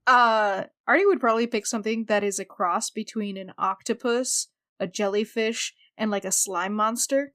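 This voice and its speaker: Woman podcast panelist, medium pitch. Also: steady tone, moderate pace, few pauses, a bit bright. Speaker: podcast panelist, role-player. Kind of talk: casual chat.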